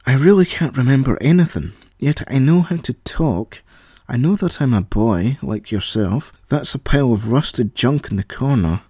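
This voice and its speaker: A man's voice: mid-range voice, expressive, moderate pace, warm tone, slightly rough, no echo, clean studio sound. A man voicing a bewildered, dryly sceptical young boy who has lost his memory. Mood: puzzled.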